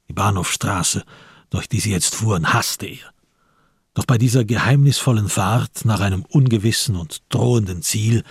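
Male radio-drama narrator, very husky and low, measured literary delivery with deliberate pauses. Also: no room sound, clean studio sound. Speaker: narrator of a radio crime play.

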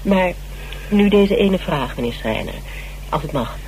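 A sharp lady investigator, blunt, composed, keeps probing, old radio play with some hum. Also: lowish voice, expressive, measured pace. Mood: probing, polite. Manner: probing, matter-of-fact. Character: shrewd, persistent woman investigator, blunt and composed. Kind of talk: radio drama acting. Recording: noisy, humming old radio recording.

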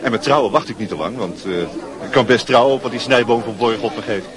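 A man's voice: mid-range voice, fast talker, lightly acted. A man acting a brash, chummy young school leaver who schemes and boasts, in a radio drama. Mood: confiding, smug. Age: young adult. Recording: busy crowd noise behind. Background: crowd chatter.

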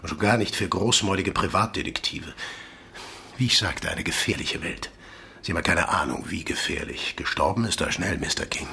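A man playing the menacing chief of a secretive agency in a radio play, with a husky, breathy voice and a measured, politely condescending manner. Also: deep voice, sharp tone, slight background noise, full character voice.

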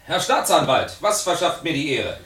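A man's voice: on the high side, big pitch swings, normal speed, echoey room. Male chemist in a noisy room. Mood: courteous. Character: courteous, guarded male forensic chemist. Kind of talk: German crime radio drama acting.

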